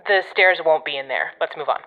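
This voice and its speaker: An actress playing a gruff, exasperated but protective woman guiding a colleague over the radio in an audio drama, bossy and sardonic. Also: low voice, brisk, phone-quality sound, slight background noise. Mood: wry, instructing.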